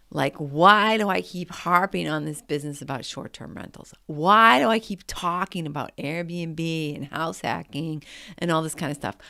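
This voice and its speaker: A woman's voice: sits low, gravelly, sharp tone, no echo. A woman in her late fifties hosting a podcast, talking in a chatty, conversational way in a motivational monologue. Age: 50s. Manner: chatty and conversational, with frequent "like" and "I mean". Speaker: podcast host.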